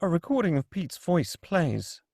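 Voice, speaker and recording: A man's voice: on the high side, sing-song, a little slow, gravelly, darkish tone, no room sound, clean studio sound. A man reading screenplay action and scene directions as scripted narration at a table read.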